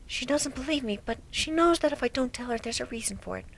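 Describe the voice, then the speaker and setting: A woman acts out a gentle, anxious young pegasus mare with a high, soft voice. She speaks hesitantly and can sink toward a whisper. It is a first-person dramatic reading of a cartoon fan story. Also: lively intonation, quick pace, vocal fry, heavily acted. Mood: worried, reflective. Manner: hesitant, soft-spoken, breaking into near whispers.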